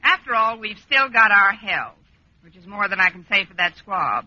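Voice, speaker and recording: A woman playing a wry, sharp-witted schoolteacher in an old radio sitcom, with dry sardonic timing. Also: mid-range voice, big pitch swings, moderate pace, close to an everyday voice. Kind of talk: 1940s-50s radio sitcom acting. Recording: muffled, hissy vintage radio recording.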